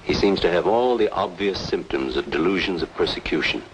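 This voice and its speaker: An actor playing a grave, formal doctor, deliberate and insistent, in an old-time radio noir drama. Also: male voice, deep voice, lively intonation. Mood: grave, cautioning. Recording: dull, noisy old radio recording.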